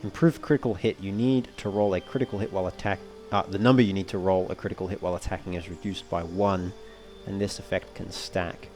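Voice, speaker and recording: A man giving casual let's play commentary, thinking aloud in a measured, understated way and often reading game text out. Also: deep voice, steady tone, darkish tone. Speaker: gamer narrating a playthrough.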